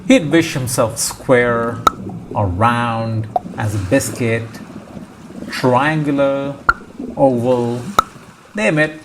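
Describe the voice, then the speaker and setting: A man reading a children's storybook aloud as scripted narration, measured and pausing between short phrases. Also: mid-range voice. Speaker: storybook reader. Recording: clear background noise, ambience. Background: ocean ambience sound effects.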